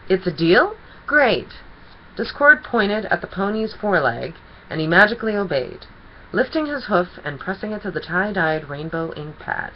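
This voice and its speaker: A woman reading a children's story aloud as an expressive storyteller. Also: on the low side, normal speed, muffled recording, a little hiss or hum.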